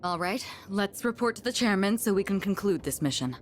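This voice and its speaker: A woman's voice: mid-range voice, lively intonation, brisk, slight background noise, lightly acted. An actress playing a composed young female team leader in a video game, businesslike and decisive.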